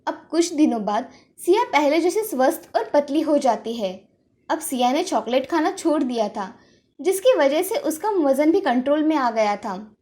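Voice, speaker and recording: A woman's voice: sits high, lively intonation, moderate pace, darkish tone. A woman storyteller reading scripted narration for a moral tale.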